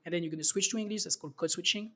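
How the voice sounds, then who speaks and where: A man's voice: high voice, very fast, fairly bright tone. A man from France with a French accent, a startup founder talking loosely as an interview guest with many fillers and restarts. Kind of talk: casual interview talk.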